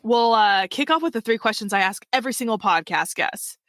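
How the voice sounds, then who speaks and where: A woman hosts a podcast in a loose, chatty way, tossing in quick asides and laughs as she goes. Her voice sits fairly high for a woman. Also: lively intonation, fairly bright tone, no echo, studio quality. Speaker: podcast host. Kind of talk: casual interview chat.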